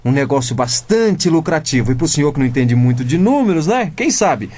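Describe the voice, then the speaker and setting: An actor playing a cheeky, suspicious fifteen-year-old son with a Brazilian accent in a comedic radio drama. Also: male voice, on the high side, big pitch swings, fairly quick, darkish tone. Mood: accusing. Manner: insinuating, cheeky.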